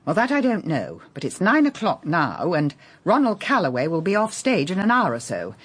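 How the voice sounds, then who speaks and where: Shrewd English lady detective, lively intonation. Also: lowish voice, normal speed, slightly rough, full character voice. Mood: brisk, musing. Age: middle-aged. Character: shrewd, poised, sympathetic middle-aged lady detective. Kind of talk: radio drama acting.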